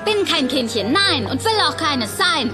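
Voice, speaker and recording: An actress playing a cheeky, defiant child-like goblin who talks in rhymes in a children's film. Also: female voice, high voice, animated, normal speed. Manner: defiant, rhyming. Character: cheeky impish child-like goblin creature, sing-song rhymer. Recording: film excerpt with music behind.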